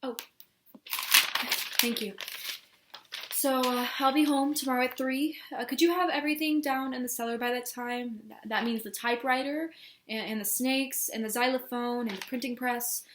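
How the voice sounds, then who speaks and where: A high-pitched, earnest young woman in love, played by an amateur actress, stumbles over her words in a flustered stage monologue. She is devoted and sincere. The sound is echoey and roomy, with a faint layer of noise. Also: moderate pace, natural voice. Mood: anxious, affectionate. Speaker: amateur actor performing a monologue.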